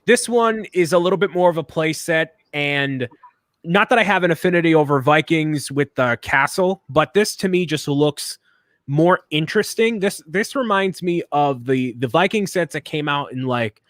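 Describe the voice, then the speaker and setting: A man's voice: fairly high pitch, expressive, normal speed, a bit bright, dry recording, clean studio sound. A man co-hosting a casual chat, enthusiastic and opinionated, often repeating words as he talks. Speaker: co-host. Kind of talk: casual chat while building.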